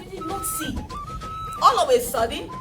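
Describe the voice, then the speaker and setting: A woman with a Nigerian accent telling a folktale to children in an animated, dramatic, interactive way. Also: very high-pitched, normal speed. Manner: animated, dramatic, asks listeners questions. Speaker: children's storyteller and educator. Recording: distant mic, loud background music.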